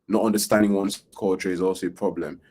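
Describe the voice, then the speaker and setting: A man's voice: low voice, steady tone, fast, a little mellow. A man hosting an interview podcast, asking long rambling questions full of fillers and tag questions. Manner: rambling, filler-heavy questions with frequent "right?". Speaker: podcast host. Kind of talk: casual interview talk.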